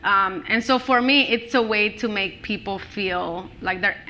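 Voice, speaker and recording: A woman author with a Dominican accent, Spanish her first language, answering audience questions at a live book event, chatty and quick to laugh. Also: medium pitch, bright, forceful tone. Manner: chatty, laughs often, lots of "like" and "you know".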